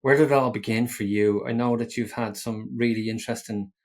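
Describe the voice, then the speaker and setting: A man from Ireland hosting a podcast interview, introducing his guest in long, steady sentences. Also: medium pitch, brisk. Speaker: podcast host.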